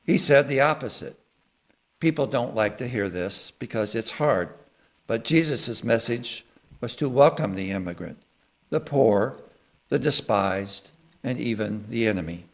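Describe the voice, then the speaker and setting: A man with a mid-range voice addresses a congregation as a service speaker. He speaks in a measured, earnest way, moving between sermon and liturgical reading. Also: mid-range voice, animated.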